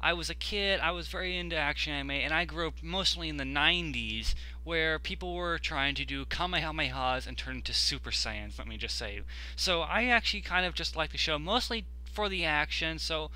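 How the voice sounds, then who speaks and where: A man's voice: a little high, a little fast, hardly any pauses, pushed and bright, clear, resonant tone, poor audio. A young man giving casual, rambling video commentary as an enthusiastic gaming fan. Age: young adult.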